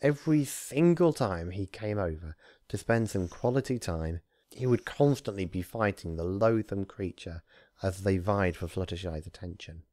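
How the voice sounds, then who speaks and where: A man reading a story aloud as narrator, in an expressive scripted storytelling style. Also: deep voice, normal speed, no echo, clean studio sound. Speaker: narrator, fan fiction reader.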